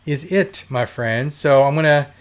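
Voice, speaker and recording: A man's voice: medium pitch, measured pace. Chatty man with a worn, dry voice. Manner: chatty, rambling, laughs often. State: hoarse, dry throat. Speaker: livestream host. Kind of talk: casual live chat reading viewer comments. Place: at home.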